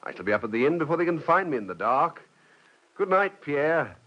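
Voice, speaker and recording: Proud french gentleman telling his adventure, theatrical old radio acting, lots of pitch swing, bit muffled. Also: big pitch swings, a little fast. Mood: breezy, confident. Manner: theatrical, articulate, dramatic. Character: headstrong French nobleman recalling his adventurous youth. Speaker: lead actor and narrator. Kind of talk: mid-century radio drama narration and acting. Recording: slightly dull vintage radio recording, music behind.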